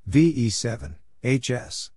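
A man narrating a practice lesson, reading call signs and short phrases in a clipped, deliberate way. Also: mid-range voice, lively intonation, very noisy. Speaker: Morse code practice narrator.

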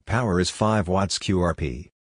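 Low-voiced man reading drill phrases. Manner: measured, isolated short phrases. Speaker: Morse code practice instructor. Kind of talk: scripted phrase drill, read aloud.

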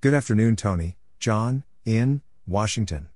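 A man's voice: lowish voice, animated, a little slow, a little mellow, dry recording, studio quality. A man reading out radio code practice exchanges, spelling letters and numbers one at a time in short separate phrases. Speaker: Morse code practice narrator. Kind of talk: spoken readout for code practice.

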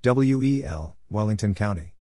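A man reading short scripted items in a plain, deliberate announcing manner, spelling out letters and naming places. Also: lowish voice, lively intonation, mellow, no room sound, clean studio sound. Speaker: Morse code practice narrator.

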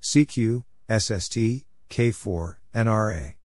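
Slow, measured man's readout, low and dry. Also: darkish tone, no echo. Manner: measured, spelling out letters and numbers one at a time. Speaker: narrator of Morse code practice. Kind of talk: scripted readout of callsigns and exchanges.

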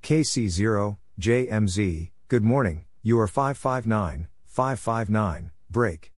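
Slow, low-pitched man reading practice exchanges. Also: expressive, a little hiss or hum. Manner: measured, spelling out call signs and numbers. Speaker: Morse code practice narrator. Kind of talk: reading radio contact exchanges aloud for practice.

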